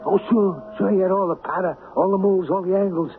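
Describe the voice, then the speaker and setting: An actor playing a stubborn, doubting small-time hustler with an American accent and slangy talk, in an old-time radio drama. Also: male voice, high voice, fairly even intonation, fast talker. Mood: musing, wary. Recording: tinny, noisy 1970s radio broadcast.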